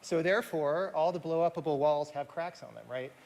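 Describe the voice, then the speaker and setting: A man's voice: a little high, fairly quick, vocal fry, a little mellow. A man speaking critically and with firm opinions as a panelist in a live discussion. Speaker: panelist, game developer. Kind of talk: panel discussion in front of audience. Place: large indoor event hall. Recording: echoey hall, faint noise.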